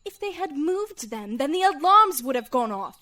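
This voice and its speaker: An actress playing a haughty, cruel weather mage in an audio drama, with a theatrical delivery. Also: female voice, high-pitched, very animated, moderate pace, sharp tone, exaggerated voice. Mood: agitated.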